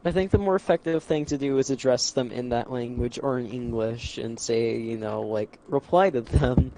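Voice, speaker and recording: A man's voice: mid-range voice, normal speed, dark tone, slight background noise, rough recording. A man from the United States chatting on an online voice call, telling rambling stories full of "like" and "you know". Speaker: stream co-host. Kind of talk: casual group chat on a voice call. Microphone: online voice chat mic.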